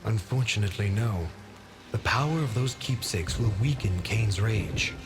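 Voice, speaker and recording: A man voicing a wise talking sword in a fantasy video game, measured, solemn and commanding. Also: lowish voice, natural voice. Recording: loud music and storm behind.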